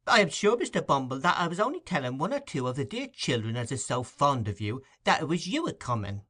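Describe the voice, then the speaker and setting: A man putting on a shrill fawning old-fashioned nurse woman. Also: very animated, fairly quick, fairly bright tone. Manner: gushing, wheedling, shrill. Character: fawning scheming middle-aged woman nurse.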